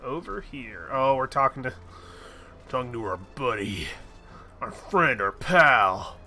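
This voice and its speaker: A man's voice: mid-range voice, expressive, slow pace, sharp tone. A man streaming a fantasy game, chatting casually, joking, swearing and reading quest lines aloud. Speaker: gaming streamer. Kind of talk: casual gameplay commentary.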